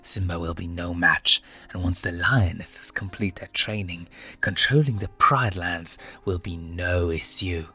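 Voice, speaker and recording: A scheming, ruthless lioness matriarch from a storybook reading, acted by a man. She commands with emphatic, proud oratory. The voice is low and intense, with music beneath it. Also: unhurried, sharp tone, slightly rough, exaggerated voice. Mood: fierce, proud. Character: ruthless, scheming lioness mother, driven by revenge.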